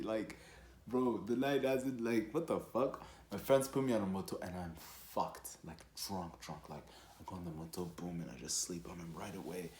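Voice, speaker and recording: A young man from Rwanda telling a personal story casually, in an animated, slangy and profane way. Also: lowish voice. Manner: animated, slangy, profane, with acted-out quotes and sound effects. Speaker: storytelling vlogger. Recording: echoey, roomy sound.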